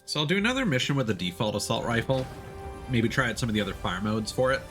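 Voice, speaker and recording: Lively man streaming a game. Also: mid-range voice, fast talker. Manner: chatty, hesitant mid-thought, playful exclamations. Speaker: video game live streamer. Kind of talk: casual gameplay commentary and chat. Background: faint game music.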